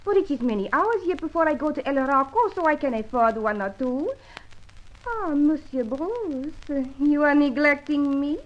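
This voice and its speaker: Teasing tipsy french dancer, high swoopy voice, old muffled radio serial. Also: female voice, animated, normal speed. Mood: tipsy, playful. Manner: theatrical, broken English, teasing. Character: flirtatious, tipsy, outspoken Frenchwoman, a nightclub dancer. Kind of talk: old-time radio adventure serial acting. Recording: muffled vintage radio recording.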